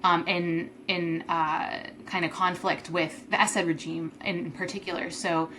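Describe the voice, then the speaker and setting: Bright-voiced woman, lower pitch. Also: level tone, unhurried, slight background noise. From Syria. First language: Arabic. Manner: thoughtful, frequent ums and restarts. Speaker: guest. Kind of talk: interview answers.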